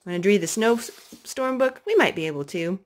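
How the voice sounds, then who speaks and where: A woman speaking as a mother leading storytime at home for a small child, in an expressive, sing-song, encouraging way. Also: medium pitch, moderate pace, noisy background. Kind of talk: child-directed storytelling and chat. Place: carpeted room and wood-floored room at home.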